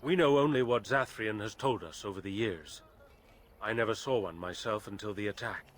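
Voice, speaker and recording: An actor playing a grave elven storyteller in a video game, speaking in measured, formal phrases with solemn weight. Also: male voice, lowish voice, slight background noise, lightly acted. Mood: grave, thoughtful. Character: grave elven storyteller warning of a cursed forest. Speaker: lore-telling game character. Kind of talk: dramatic video game dialogue.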